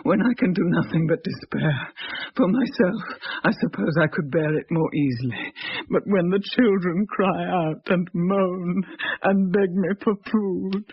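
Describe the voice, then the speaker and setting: An actress playing a despairing young widow in a radio drama, plaintive and halting. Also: female voice, mid-range voice, slightly rough, no room sound. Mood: desperate, bitter. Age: young adult. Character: possessed woman voicing a starving, despairing young English widow. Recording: dull, lo-fi old radio recording.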